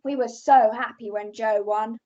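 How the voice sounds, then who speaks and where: A woman's voice: fairly high pitch, fairly even intonation, normal speed, dark tone, natural voice. A young English woman acting in an amateur radio play as a bossy, opinionated aunt recounting past events dramatically. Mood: opinionated storytelling. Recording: dull lo-fi home recording.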